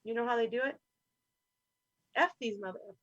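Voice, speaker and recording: A woman's voice: on the high side, a little fast, fairly bright tone, no room sound, lo-fi sound. A woman speaking as a guest in a roundtable discussion, talking in an impassioned, profane, rambling way over a remote call. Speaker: podcast guest.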